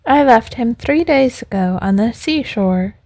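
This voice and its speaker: A woman voicing a large, helpful male pigeon in a storybook, with a measured, matter-of-fact manner. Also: a little high, expressive, a little mellow, dry recording. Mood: calm, informative. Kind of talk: storybook character voice.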